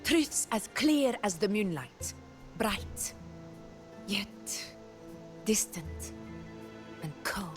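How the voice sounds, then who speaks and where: A woman playing a proud, ambitious warrior in a video game, wry and brooding, speaking in measured, dramatic phrases with music underneath. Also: mid-range voice, big pitch swings. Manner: measured, dramatic, with weighted pauses. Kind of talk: scripted video game story acting.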